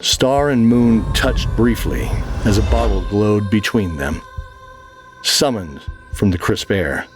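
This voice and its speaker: Male narrator, lowish voice, dramatic fantasy storytelling, scripted, soft music underneath. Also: takes it slowly.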